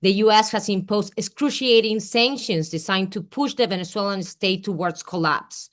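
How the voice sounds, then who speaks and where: A woman from Peru giving an earnest, deliberate political speech at a rally over a remote call, with small restarts. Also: mid-range voice. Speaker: rally speaker. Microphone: remote video call.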